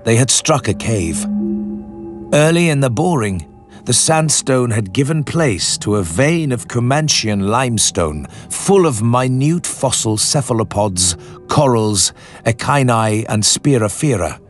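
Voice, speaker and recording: A male actor voices a grave expedition leader telling his story for radio. The delivery is measured, literary and serious, at a middle pitch. Music plays behind the voice. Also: lively intonation, close to an everyday voice. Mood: grave, building suspense. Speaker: narrator. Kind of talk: dramatic scripted literary narration for radio. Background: background music.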